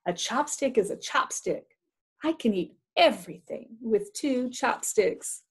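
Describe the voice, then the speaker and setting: A woman voicing an earnest, anxious young woman from a storybook, in an expressive way. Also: fairly high pitch, measured pace, husky. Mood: puzzled.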